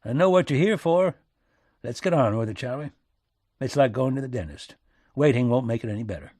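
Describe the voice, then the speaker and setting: A man's voice: mid-range voice, animated, brisk, darkish tone, dry recording, studio quality, natural voice. A man playing a weary, direct, impatient American president with a rustic accent in an audiobook. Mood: folksy, calm. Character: Abraham Lincoln, tall weary American president, plain-spoken and brisk.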